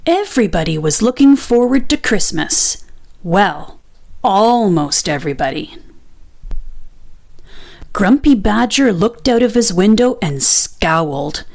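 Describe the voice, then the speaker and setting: A woman reads a children's book aloud as narrator. Her delivery is unhurried and expressive, with widely varied intonation around a medium pitch. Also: creaky. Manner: expressive, deliberate storytelling. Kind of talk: read-aloud storybook narration.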